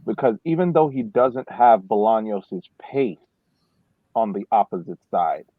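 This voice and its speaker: A man's voice: medium pitch, no echo. A man giving casual soccer opinions as a podcast panelist, recorded on a dry, lo-fi microphone. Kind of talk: casual soccer analysis chat. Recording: dry, slightly dull lo-fi mic.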